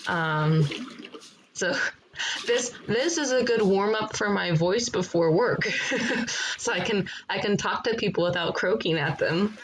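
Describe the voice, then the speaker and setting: A woman streaming a game in a relaxed, rambling chat, with a froggy morning voice and frequent laughter. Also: mid-range voice, a little slow, slight background noise, low-quality recording. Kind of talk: casual live-stream chat while gaming. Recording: loud background music over the voice.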